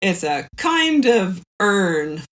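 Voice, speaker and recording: Slow-paced woman chatting as podcast guest. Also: medium pitch, big pitch swings, fairly bright tone. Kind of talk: casual chat.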